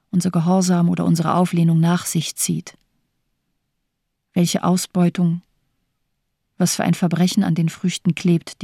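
A woman's voice: on the low side, mellow, no room sound, clean studio sound. An actress playing a bitter, cynical doctor in her thirties in a radio drama, speaking in declamatory, rhetorical phrases. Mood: despairing. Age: 30s. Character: bitter, cynical morphine-addicted woman doctor of 34.

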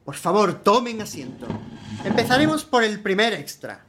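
A man's voice: sits high, sing-song, moderate pace, noisy background. A man performs an unctuous supermarket salesman in a radio drama. He has an accent from Spain and a theatrical, over-polite sales patter, always gushing and eager to impress the customer. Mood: gushing salesmanship. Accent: Spanish from Spain. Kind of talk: radio play acting.

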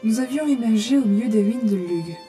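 A woman's voice: fairly high pitch, lively intonation, brisk, natural voice. A woman acting a blunt, slangy, sardonic heroine in a French-language audio drama. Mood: wry narration. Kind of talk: audio drama acting and first-person narration. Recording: loud music bed underneath. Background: music.

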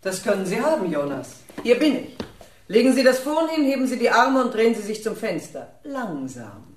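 A woman's voice: fairly high pitch, big pitch swings, moderate pace, a little hiss or hum. An actress playing a cold-blooded daddy's girl villain in a radio crime drama, commanding and sweetly cooing. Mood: coolly menacing.

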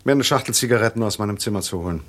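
An actor playing a curious, polite gentleman sleuth in a German radio crime play, speaking briskly. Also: on the low side, background noise, natural voice. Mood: inquisitive.

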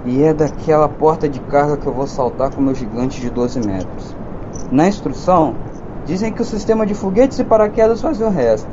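A man's voice: on the high side, expressive, normal speed, dull audio, lightly acted. A man with a Brazilian accent playing a cynical, nervous, foul-mouthed soldier who narrates an audio drama in the first person. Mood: sardonic dread. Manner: sardonic, colloquial, swearing. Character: cynical, nervous, foul-mouthed male soldier piloting giant war machine. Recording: clearly noisy, engine and outdoor ambience.

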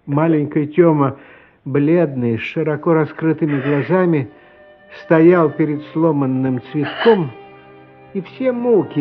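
A man narrating a literary radio play as a solemn, compassionate storyteller, expressive and measured, with dramatic pauses. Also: a little high, lightly acted. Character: solemn, compassionate storyteller of a boy's inner life. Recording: slightly dull, lo-fi radio recording. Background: music.

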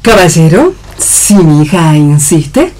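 A woman's voice: low-pitched, big pitch swings, takes it slowly, darkish tone, heavily acted, rough recording. A woman playing a haughty, snobbish rich mother in a read radio play. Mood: deferential.

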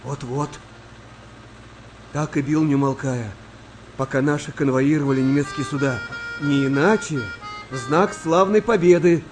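A man playing a skeptical, well-spoken wartime navy sailor telling tales with measured drama in a Russian radio play. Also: fairly high pitch, natural voice. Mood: suspenseful storytelling. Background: music behind the voice.

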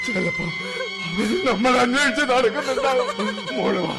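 A man's voice: very high-pitched, huge pitch swings, normal speed, over loud music, exaggerated voice, low-quality recording. An actor playing an anxious father who searches for his children after a disaster in a radio play. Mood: distressed. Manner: pleading, distressed, comforting.

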